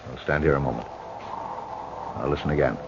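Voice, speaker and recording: An actor playing a steady, authoritative ship's captain in old-time radio horror style, measured and commanding. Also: male voice, very deep voice, vocal fry. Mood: cautious, commanding. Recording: muffled vintage radio recording, faint noise.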